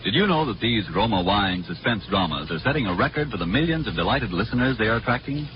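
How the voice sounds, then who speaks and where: A man's voice: on the low side, lively intonation, quick pace, background noise. A man reading advertising copy as a 1940s radio announcer, polished, salesmanlike and emphatic. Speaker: commercial announcer. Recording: dull 1940s radio recording with music.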